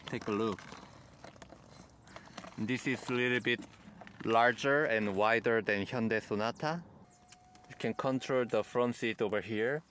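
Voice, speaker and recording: Slow-talking man with a Korean accent. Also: medium pitch, a bit bright, a little hiss or hum. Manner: plain, halting explanations in simple phrasing. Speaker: car reviewer. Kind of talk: car review walkthrough. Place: in and around a car.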